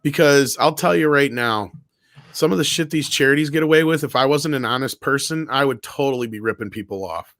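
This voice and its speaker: A man's voice: mid-range voice, a little fast, a bit bright, no echo. Blunt, sweary man in dry close sound. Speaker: online show co-host. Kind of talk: casual opinionated panel chat.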